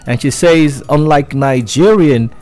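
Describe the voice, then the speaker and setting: A man's voice: a little high, measured pace, a little mellow, faint music behind. A man hosting a radio show, chatting through entertainment news with lots of fillers and restarts.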